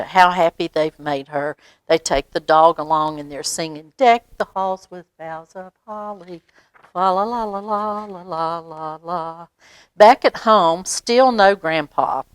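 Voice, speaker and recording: Expressive, unhurried American woman reading to children. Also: sits low, dry recording. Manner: expressive, drawn-out words with asides explaining to children. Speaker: library storytime reader. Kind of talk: children's picture book read-aloud.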